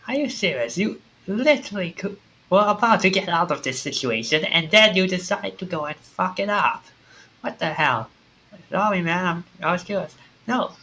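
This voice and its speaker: A man streaming a game, giving animated, sweary live commentary as he plays. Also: sits high, moderate pace, slight background noise. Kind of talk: live playthrough commentary with read-aloud acting.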